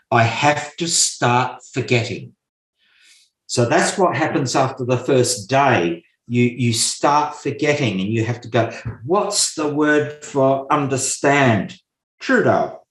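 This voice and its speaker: Slow, deliberate man in an echoey room. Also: medium pitch, expressive, fairly bright tone. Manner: slow, deliberate, repeats key points with hesitant fillers. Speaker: guest expert on memory and mind maps. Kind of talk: explanatory interview talk. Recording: roomy echo.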